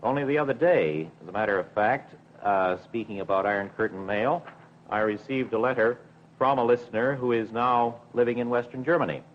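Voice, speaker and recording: A middle-aged man, a broadcasting official, answering in a measured, careful, formal way with some hesitation in a 1950s television interview. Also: mid-range voice. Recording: dull 1950s television recording with hum.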